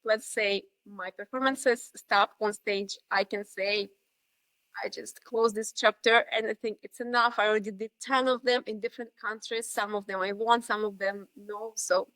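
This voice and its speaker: A woman's voice: medium pitch, steady tone, normal speed, bright, forceful tone, no room sound, poor audio. A woman from Russia in her thirties with a Russian accent, reflecting as a podcast guest, with many fillers and restarts. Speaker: guest, dance instructor. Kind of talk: podcast interview.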